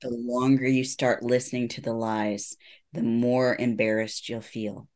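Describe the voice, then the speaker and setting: A middle-aged man, a psychologist guest, explaining things in an earnest, reassuring, measured way in a conversational interview. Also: medium pitch, level tone, darkish tone.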